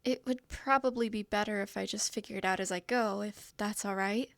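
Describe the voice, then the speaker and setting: A woman's voice: medium pitch, fairly even intonation, fairly quick, gravelly, dry recording, lightly acted. A woman voicing a timid, apologetic young girl who stammers nervously. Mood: friendly. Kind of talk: fantasy audio roleplay.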